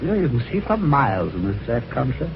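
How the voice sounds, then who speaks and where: Jaunty British gentleman, wildly animated pitch. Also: fast talker, creaky. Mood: exclaiming, effortful. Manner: jaunty, wry, old-fashioned gentlemanly phrasing. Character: genial, chatty, helpful husband telling his adventure. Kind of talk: 1950s radio drama acting and first-person narration. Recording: dull, noisy old radio recording.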